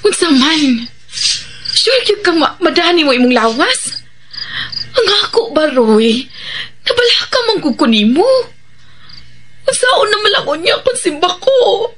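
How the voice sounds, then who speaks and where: A fretful, loving Bisaya wife in a radio drama. Her voice is very high and swings widely as she coaxes and scolds, with faint noise behind her. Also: very animated, a little slow, long pauses, fairly bright tone, exaggerated voice. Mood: worried. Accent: Filipino, Bisaya. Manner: emotive, coaxing, scolding. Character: worried, nagging, affectionate wife and mother. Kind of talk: Filipino radio drama acting.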